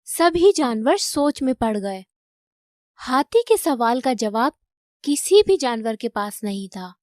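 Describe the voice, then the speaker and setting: Female narrator for children's tales: fairly high voice, slow delivery, scripted storytelling. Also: sing-song, a little mellow, no echo, clean studio sound.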